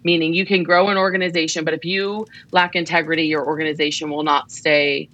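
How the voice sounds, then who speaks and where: A woman's voice: deep voice, flat delivery, a little fast, fairly bright tone. A woman co-hosting a podcast, chatting casually and affirming her co-hosts while giving advice. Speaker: podcast co-host.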